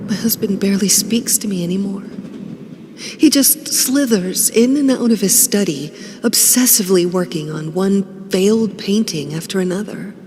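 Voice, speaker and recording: An actress playing a melancholy, neglected wife in a video game, with a wistful, bitter and measured delivery. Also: female voice, mid-range voice, a little mellow, close to an everyday voice. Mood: sorrowful. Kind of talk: dramatic game monologue. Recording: noisy, long reverberant hall sound.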